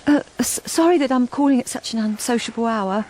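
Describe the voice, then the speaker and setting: An actress playing a lonely, downtrodden wife in a radio drama, with a bleak, understated delivery. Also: female voice, on the high side, fairly quick, darkish tone, slight background noise, lightly acted, low-quality recording. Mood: hesitant, nervous. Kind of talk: first-person radio drama narration.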